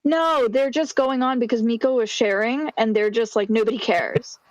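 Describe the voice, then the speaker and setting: A woman's voice: a little high, moderate pace, no echo, dull audio. A woman speaking outspokenly in a casual group livestream chat, calling people out. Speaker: livestream co-host.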